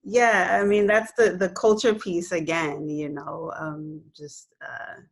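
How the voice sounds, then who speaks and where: A woman's voice: on the low side, little pitch movement, takes it slowly, vocal fry. A woman in her thirties from Puerto Rico, raised in New York City, talking thoughtfully about her film on a video call from her living room, with many "you know"s and "um"s. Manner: gestures with her hands while talking. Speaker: filmmaker being interviewed. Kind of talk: reflective casual interview answers. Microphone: laptop webcam on a video call.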